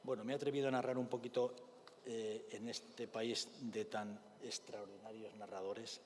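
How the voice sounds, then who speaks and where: A man's voice: lowish voice, level tone, a little mellow, a little hiss or hum. Spanish man lecturing in a reverberant hall. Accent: Spain. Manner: measured, thoughtful, with frequent hesitation fillers. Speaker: clinician giving a conference talk. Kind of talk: forum lecture with storytelling.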